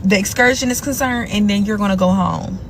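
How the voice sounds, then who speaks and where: Frank, opinionated woman with a mid-range voice; faint background rumble. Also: brisk. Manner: frank, opinionated, talks it through. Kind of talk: opinionated commentary video.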